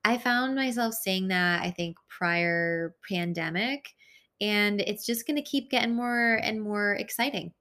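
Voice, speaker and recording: A woman's voice: medium pitch, measured pace, bright, forceful tone. A woman whose first language is Hungarian, hosting an unscripted spiritual podcast and rambling in a self-aware way with frequent fillers. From born in Italy, raised in the United States. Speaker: podcast host. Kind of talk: unscripted solo podcast monologue.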